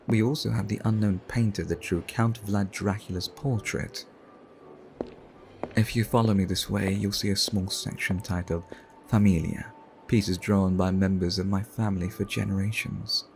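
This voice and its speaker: Suave, low, gravelly vampire gentleman acted by a man. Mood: refined, confiding. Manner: formal, courteous, measured, flirtatious. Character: suave, refined male vampire art collector. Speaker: ASMR roleplay performer. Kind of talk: ASMR roleplay.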